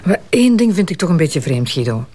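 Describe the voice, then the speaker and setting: A man's voice: fairly high pitch, sing-song, fairly quick, darkish tone. An actor playing a questioning, dryly disapproving investigator in a Flemish crime drama, colloquial in manner, a man's voice. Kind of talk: naturalistic Flemish radio crime drama acting.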